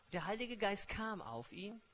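A man's voice: high-pitched, sing-song, normal speed, fairly bright tone, a little hiss or hum. A man interpreting a sermon live, paraphrasing fluently with frequent fillers and self-corrections.

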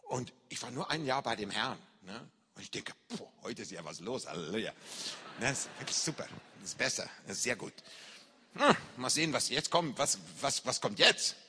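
A man preaching and telling a story from his own life, acting out shouts and reactions, speaking German with non-native grammar. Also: medium pitch, moderate pace, breathy edge, long reverb tail. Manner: animated, acts out shouts and reactions. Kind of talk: sermon testimony, storytelling.

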